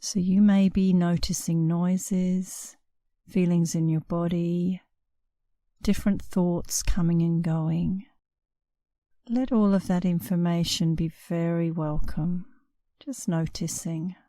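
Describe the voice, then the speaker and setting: A woman meditation instructor guiding a relaxation session in a soothing, unhurried way, leaving long pauses between short phrases. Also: on the low side, little pitch movement, warm tone, dry recording, studio quality. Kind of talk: guided meditation, scripted narration.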